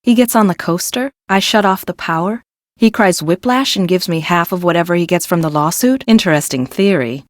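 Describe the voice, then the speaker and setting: An actress voices a confident, quirky woman engineer for an adventure game. Her voice sits low and is recorded close and dry. She speaks articulately, with a wry, matter-of-fact edge and a new-age streak. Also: a little fast, no echo, natural voice. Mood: defensive, indignant. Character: self-assured, quirky new-age woman engineer, park maintenance supervisor. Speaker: video game voice actor. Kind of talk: scripted adventure game dialogue.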